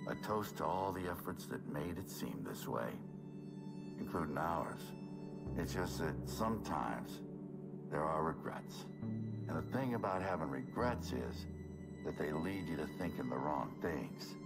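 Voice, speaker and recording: An actor playing a wry, weary middle-aged crime-scene cleaner in a video game, sarcastic and world-weary. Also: male voice, extremely low pitch, creaky, exaggerated voice. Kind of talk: video game voice acting, monologue and dialogue.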